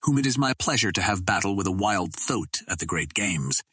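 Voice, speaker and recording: A menacing, battle-scarred Martian warrior chieftain as a male audiobook narrator plays him. He speaks in formal, archaic phrases with a husky, low voice. The sound is very dry, like a storybook read up close. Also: animated, normal speed, pushed and bright, no room sound, heavily acted. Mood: menacing, defiant.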